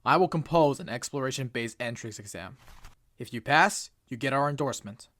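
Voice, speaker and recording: A stern, formal bird assistant, acted by a man, lectures in clipped, precise phrases, proud and unbending. His voice sits at an ordinary middle pitch and sounds close and dry, with nothing from the room around it. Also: animated, a little fast, a bit bright, dry recording, natural voice. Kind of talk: fan comic dub acting.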